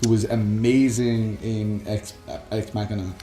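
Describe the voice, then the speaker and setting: A man's voice: fairly low pitch, little pitch movement, measured pace, warm tone, reverberant, faint music behind. A man chatting casually and enthusiastically as a podcast host, opinionated and free with swearing. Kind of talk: casual chat about games and films.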